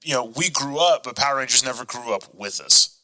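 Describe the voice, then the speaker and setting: A man with a very bright, sharp voice and a middling pitch hosts a podcast. He talks in a loose, casual, conversational way. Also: animated, fairly quick, poor audio. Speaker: podcast host. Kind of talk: casual chat.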